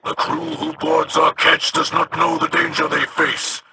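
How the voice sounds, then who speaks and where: A man voicing a stern, weathered alien captain in a video game, speaking formally and deliberately with a gravelly voice. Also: a little high, monotone, background noise, lightly acted. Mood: stern, solemn. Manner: slow, formal, deliberate speech. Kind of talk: video game character acting.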